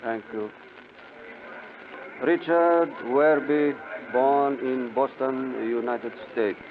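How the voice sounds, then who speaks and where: A stern male official speaks in stiff, courteous formalities. It is vintage radio drama with a narrow, telephone-like band and clear noise. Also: mid-range voice, very slow. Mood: formal, stern. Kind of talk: 1950s radio drama acting. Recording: thin, phone-like, noisy old radio recording.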